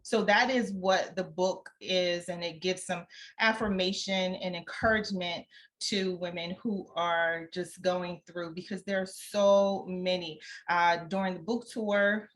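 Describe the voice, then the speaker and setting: A woman's voice: lowish voice, steady tone, a little slow, fairly bright tone, low-quality recording. A woman guest in an interview, speaking conversationally as an author in a reflective, encouraging way.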